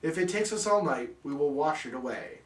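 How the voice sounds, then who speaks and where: A man's voice: a little high, animated, a little fast, echoey room, far from the mic, close to an everyday voice, low-quality recording. A man voicing eager, scheming little senators from a rhyming storybook, exclaiming in singsong. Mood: determined.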